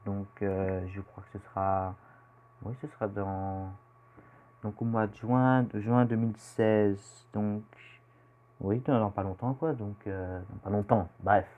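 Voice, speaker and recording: A man's voice: lowish voice, fairly even intonation, takes it slowly, phone-quality sound. A teenage boy from Belgium with a Belgian French accent, casually answering questions about himself in a vlog, rambling and hesitant with lots of fillers. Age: teen. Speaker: youtuber. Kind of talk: casual self-introduction vlog.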